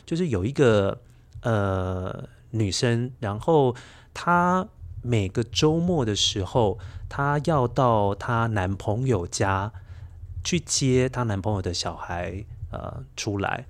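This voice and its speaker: A man's voice: mid-range voice, expressive, slow. A middle-aged man with a Taiwanese accent, a storyteller speaking as an interview guest and sharing personal anecdotes in conversation.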